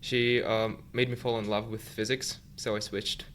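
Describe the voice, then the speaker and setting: A man's voice: on the low side, normal speed, slight background noise. Young man. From Uzbekistan. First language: Russian. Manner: relaxed, leans on "like" as filler. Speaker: podcast guest, student tutor. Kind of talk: casual interview chat.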